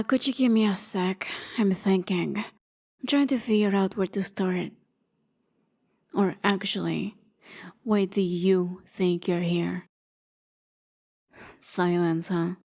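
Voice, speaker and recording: A woman's voice: mid-range voice, a little mellow. Sardonic, exasperated goddess acted by a woman. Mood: exasperated, sarcastic. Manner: sardonic, theatrical, scolding. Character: exasperated, sarcastic female deity of gambling scolding champion. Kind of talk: ASMR fantasy roleplay.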